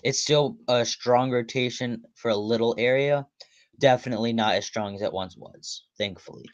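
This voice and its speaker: A man hosting a storm-chasing livestream, commenting on radar in a halting way with frequent restarts. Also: medium pitch, no room sound. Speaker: storm chaser livestream host. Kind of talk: live weather radar commentary. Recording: dull lo-fi dry audio.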